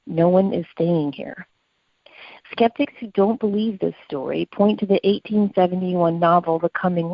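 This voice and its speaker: A woman with a fairly low voice tells a story as a podcast co-host, reading from prepared notes. She explains as she goes. The sound is close and dry, slightly dull. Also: dry recording.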